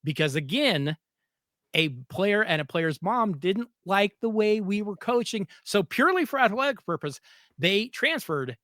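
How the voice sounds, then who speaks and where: Higher-voiced man hosting an interview. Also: very animated, unhurried, fairly bright tone, dry recording, studio quality. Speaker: podcast host interviewing a guest. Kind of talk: conversational interview.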